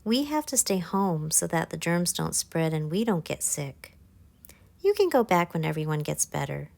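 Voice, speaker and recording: A woman voicing a gentle, reassuring mother who patiently explains things to her young child in a storybook. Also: lowish voice, big pitch swings, moderate pace, gravelly. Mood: explaining calmly.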